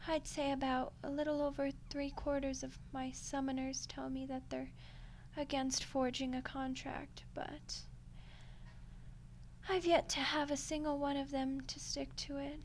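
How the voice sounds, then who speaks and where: A woman playing a smug, condescending female archdemon in a soft-spoken ASMR roleplay, unhurried and menacingly polite. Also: high-pitched, little pitch movement, a little hiss or hum. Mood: smug, dismissive. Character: ancient female archdemon, smug, coolly seductive deal-maker.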